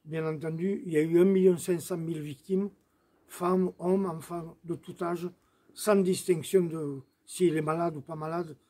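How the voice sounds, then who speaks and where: A man's voice: on the high side, steady tone, normal speed, mellow, slightly rough. A man speaking as a guest in an explanatory commemorative talk to camera. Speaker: guest, association president. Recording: faint background ambient noise.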